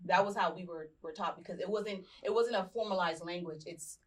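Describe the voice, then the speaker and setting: A woman's voice: lowish voice, brisk. A woman guest on a panel show who talks reflectively and searches for words. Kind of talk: casual panel talk.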